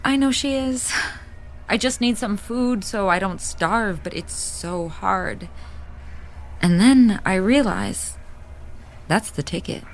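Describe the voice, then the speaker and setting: A woman playing a grieving, wry hospice nurse in a naturalistic audio drama, confiding in a halting, candid way. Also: medium pitch, a little hiss or hum, poor audio. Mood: confiding, bitter. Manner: halting, confiding, dry-humored.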